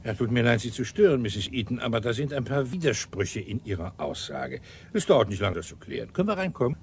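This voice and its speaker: An actor playing a shrewd, sardonic, dogged police inspector in a German radio crime drama, commanding and dry with muttered asides. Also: male voice, mid-range voice, animated, a little fast, vocal fry, slight background noise. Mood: insistent.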